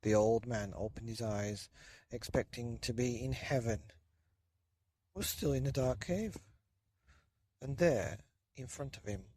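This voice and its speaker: A man reading a children's bedtime story in a loose, dramatic way with drawn-out emphasis. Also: medium pitch, dark tone, slightly rough, dry recording. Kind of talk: children's story narration, partly improvised.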